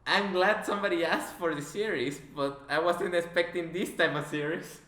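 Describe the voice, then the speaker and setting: Male interviewer, bright tone, teasing casual chat, echoey room sound. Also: high voice, moderate pace. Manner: teasing, sarcastic. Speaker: podcast interviewer. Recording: roomy, echoey voice recording.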